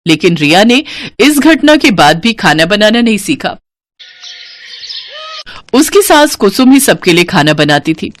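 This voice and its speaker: Animated woman storyteller, very lively pitch. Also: unhurried, long pauses. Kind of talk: animated scripted story narration.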